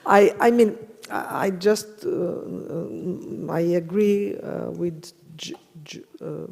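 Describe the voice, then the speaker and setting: A woman's voice: lowish voice, takes it slowly, vocal fry, a little mellow, breathy edge. A woman with a Serbian accent speaking on a conference panel, hesitant and searching for words, laughing easily. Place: conference hall. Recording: reverberant conference hall.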